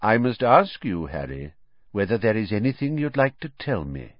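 A man voicing a calm, kindly old wizard headmaster in an audiobook, measured, gentle and quietly amused. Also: on the high side, no echo, muffled recording, pitched above the performer's own voice, exaggerated voice. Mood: gentle, sombre.

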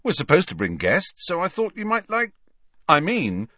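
A man's voice: fairly high pitch, huge pitch swings, moderate pace, pushed and bright, no room sound, studio quality, pitched above the performer's own voice, heavily acted. A man playing an awkward, friendly teenage schoolboy in an audiobook, plain-spoken and a little hesitant. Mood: awkward, earnest. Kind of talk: audiobook character voice.

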